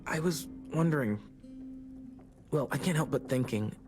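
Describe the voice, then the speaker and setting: A charming teenage boy played by a man talks in an American accent, hesitant and self-deprecating. The delivery is wry, natural video game acting. There is soft music behind the voice. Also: a little high, normal speed, close to an everyday voice. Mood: hurt, uncertain.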